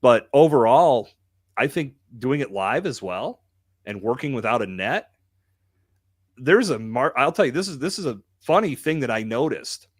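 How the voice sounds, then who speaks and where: A man's voice: medium pitch, animated, moderate pace, slightly rough, dry recording. A man hosting a live podcast, talking in a candid, emphatic, colloquial way into a close microphone. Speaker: podcast host. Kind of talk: live conversational podcast talk.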